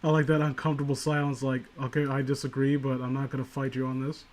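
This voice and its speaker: A man's voice: mid-range voice, level tone, fast talker, a little mellow, a little hiss or hum. A man giving casual comedic commentary in a sardonic, rambling, profane way. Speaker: podcast co-host reading and mocking amateur horror stories.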